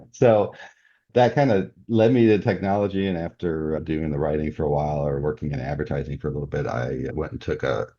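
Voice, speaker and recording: Older male interviewee, extremely low and rough-edged, conversational, lo-fi sound. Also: normal speed, mellow. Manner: chuckles often as he talks. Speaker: interview guest, author. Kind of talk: casual podcast interview. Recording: dull, muffled lo-fi audio.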